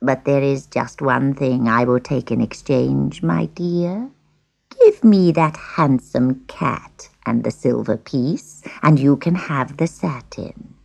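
Woman acting a croaky, cackling old witch. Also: very deep voice, slow pace, darkish tone, pitched below the performer's own voice, heavily acted. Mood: wheedling. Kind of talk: children's storybook character voice.

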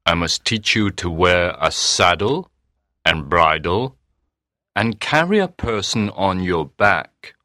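A man voicing a kind, patient male horse owner who calmly explains things in a storybook reading. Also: low voice, slow, no echo, clean studio sound, pitched below the performer's own voice, heavily acted. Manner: calm, steady and reassuring.

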